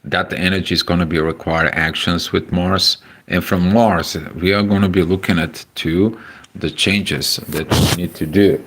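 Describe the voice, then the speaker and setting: A man from Bulgaria with a Bulgarian accent, hosting a casual astrology livestream, speaking haltingly with many filler sounds and greeting viewers. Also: deep voice, fairly even intonation, a bit bright.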